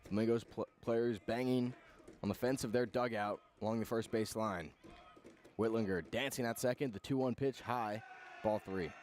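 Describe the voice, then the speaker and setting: A man's voice: fairly low pitch, normal speed, darkish tone, slight background noise. Male baseball radio play-by-play announcer. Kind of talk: live baseball play-by-play commentary.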